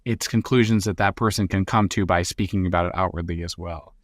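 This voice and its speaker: Talkative man, low voice, dry sound. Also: a little fast, no room sound. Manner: talkative, self-aware, animated. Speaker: guest. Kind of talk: reflective interview answer.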